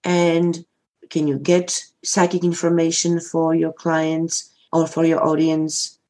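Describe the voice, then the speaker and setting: A woman's voice: deep voice, monotone, takes it slowly, mellow. Woman host asking brief questions. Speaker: podcast host. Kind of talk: interview questions.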